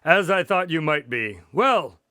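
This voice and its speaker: A man playing a courteous doctor and investigator in a radio drama, with polite, formal, measured phrasing. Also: on the high side, sing-song, pushed and bright, smooth, no room sound, studio quality, exaggerated voice. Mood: composed storytelling. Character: courteous male doctor and investigator recounting a case.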